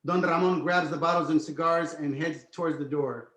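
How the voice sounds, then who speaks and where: Male narrator for script read-through, slightly high voice, echoey room. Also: fairly even intonation, normal speed, a bit bright. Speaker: stage directions narrator.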